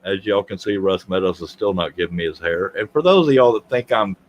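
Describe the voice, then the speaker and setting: A man's voice: deep voice, fairly quick, muffled recording, a little hiss or hum. A man, hosting a casual livestream chat, joking and self-deprecating as he rambles. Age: 50s. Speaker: livestream host.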